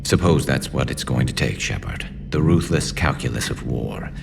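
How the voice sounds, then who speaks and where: An actor playing a grim, weary alien soldier in a video game, with slow, brooding delivery. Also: male voice, expressive, noisy background, exaggerated voice. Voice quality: very deep, gravelly. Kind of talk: dramatic video game acting.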